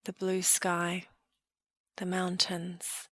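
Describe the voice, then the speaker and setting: A woman leading a guided meditation in a calm, gentle way, with soft trailing phrases. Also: lowish voice, very slow, dry recording. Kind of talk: guided meditation, slow soothing narration.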